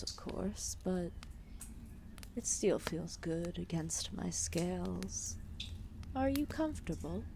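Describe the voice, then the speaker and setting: A woman playing a calm, solemn, ancient serpent deity in an ASMR roleplay, speaking softly and formally with deliberate pauses. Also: on the low side, background noise. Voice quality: soft, breathy, often dropping into whispers. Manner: slow, deliberate, formal phrasing with long pauses.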